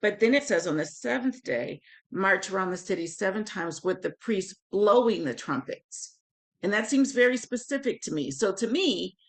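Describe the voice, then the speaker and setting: A woman with a husky, hoarse voice hosting a live Bible study in an informal, conversational way. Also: medium pitch, fairly bright tone. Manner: informal, conversational, greets listeners by name. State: hoarse, sore voice. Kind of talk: live Bible teaching, conversational with scripture reading.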